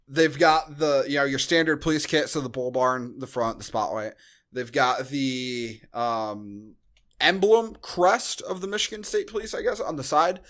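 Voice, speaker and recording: A man's voice: mid-range voice, normal speed, a bit bright. An American man co-hosting a podcast in casual, rambling, joking conversation. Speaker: podcast co-host. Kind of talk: casual chat, movie review.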